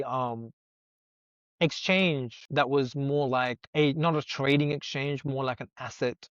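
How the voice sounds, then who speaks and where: A man's voice: mid-range voice, a bit bright, no room sound. Earnest young man, native Sinhala speaker. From Sri Lanka. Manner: earnest and reflective, thinks aloud with rhetorical questions. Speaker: guest. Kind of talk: conversational interview. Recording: dull, dry lo-fi sound.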